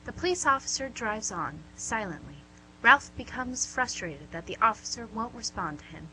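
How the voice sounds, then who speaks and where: A woman's voice: a little high, very animated, moderate pace, pushed and bright, poor audio. A woman reading scripted narration and stage directions for a radio play. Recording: hum and clear background noise.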